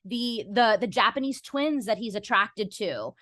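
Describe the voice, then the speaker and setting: A woman's voice: mid-range voice, expressive, unhurried, a bit bright, no room sound, clean studio sound. A woman guest on a podcast explaining her views conversationally, earnest and articulate, often saying "you know".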